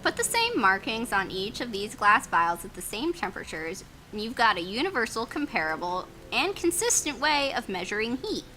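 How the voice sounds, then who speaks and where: An excitable, geeky woman playing a story game, reading it out playfully with self-mocking asides. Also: a little high, moderate pace, hardly any pauses, a little hiss or hum, rough recording. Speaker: gaming channel player reading out a story game. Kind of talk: playful Let's Play reading with joking asides. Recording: music playing behind the voice.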